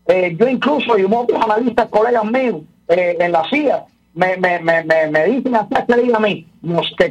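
A man's voice: sits high, husky. Man on a muffled phone line, stammering. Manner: repeats words, restarts often. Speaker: political commentator guest. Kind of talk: radio phone-in commentary.

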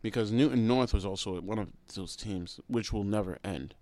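A man's voice: fairly low pitch, moderate pace, mellow, no echo. A young American man talking casually as a podcast guest, with many fillers like "like" and "you know". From United States. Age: young adult. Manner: laid-back, heavy use of "like" and "you know". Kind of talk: casual conversation.

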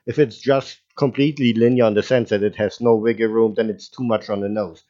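A man's voice: on the low side, fairly even intonation, brisk, warm tone, muffled recording. A man hosting a casual podcast interview, easygoing, laughing along and teasing his guest. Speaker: podcast host.

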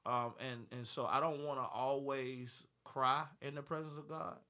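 Brief: man, 30s-40s, recording artist on a panel, rambling and self-correcting, forceful bright tone. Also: medium pitch, steady tone, normal speed. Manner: rambling, repeats words, laughs easily. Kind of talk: panel interview conversation.

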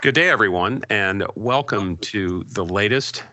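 A man's voice: lowish voice, measured pace, lo-fi sound, over music. A man hosting a business podcast, asking long questions with many fillers and restarts. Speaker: podcast host. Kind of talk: podcast interview.

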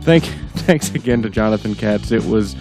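A man with a gravelly, congested voice hosting a podcast in a casual, comedic, self-deprecating way. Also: on the low side, lively intonation, moderate pace, music in the background. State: congested, under the weather. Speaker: podcast host.

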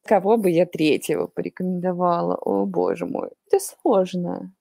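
Lively young woman from Ukraine, dry close sound. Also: lowish voice, moderate pace, vocal fry, no room sound. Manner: lively, laughs often, mixes in slang. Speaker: podcast guest. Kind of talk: casual chat.

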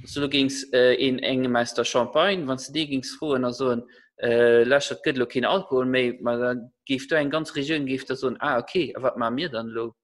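A man's voice: medium pitch, steady tone, moderate pace. Male co-host, casual talk, word-searching. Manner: searching for words, repeats himself. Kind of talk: casual podcast discussion.

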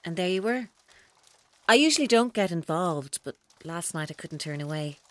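A woman playing an obsessive, menacing young woman in a radio drama, speaking in a deliberate, coaxing, unsettlingly calm manner. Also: lowish voice, no echo. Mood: quietly intense. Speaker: actor in an audio drama. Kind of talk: naturalistic radio drama acting.

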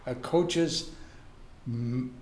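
A man's voice: medium pitch, very slow, darkish tone, echoey room. A man giving soccer analysis in casual chat, often stumbling over repeated words and tagging his lines with "right?".

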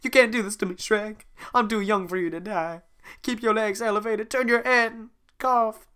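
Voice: fairly even intonation, pushed and bright, smooth, natural voice. A podcaster doing an impression of a panicky, overexcited male talking donkey in a home podcast retelling. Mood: panicked. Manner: high-strung, rapid, overexcited. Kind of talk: amateur podcast voice acting.

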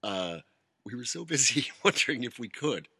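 A man's voice: mid-range voice, big pitch swings, normal speed, bright, forceful tone, breathy edge, no echo, studio quality. A man co-hosting a casual podcast, joking and rambling with self-mocking asides. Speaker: podcast co-host. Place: rural home studio.

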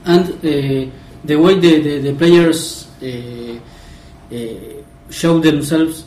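Halting man, far from the mic. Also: on the high side, darkish tone, roomy sound. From Argentina. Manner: halting, many fillers and restarts. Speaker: podcast guest. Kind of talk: casual football podcast chat.

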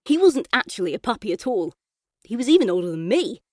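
A woman's voice: a little high, sing-song, fast, darkish tone, dry recording, studio quality. A woman voicing a thoughtful, cheeky young boy who narrates his own story in an audiobook, in short emphatic phrases. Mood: lively, chatty. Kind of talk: first-person audiobook storytelling.

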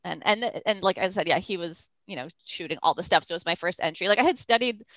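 A woman's voice: lowish voice, quick pace, fairly bright tone, dry recording, muffled recording. A woman photographer from the Boston suburbs, chatting casually as an interview guest and rambling with lots of fillers. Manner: rambling, many fillers like "like" and "you know".

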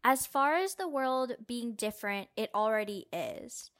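A woman's voice: fairly high pitch, lively intonation, unhurried, fairly bright tone. Woman reading candid written answers.

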